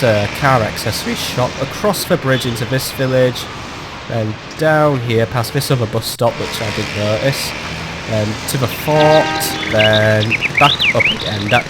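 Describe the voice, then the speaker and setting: A man's voice: medium pitch, a little slow. A man giving casual, self-mocking commentary while playing a management game, his voice still recovering from a cold. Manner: chatty, self-mocking, swears when annoyed. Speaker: gameplay commentator. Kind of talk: casual let's-play commentary. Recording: audible background noise.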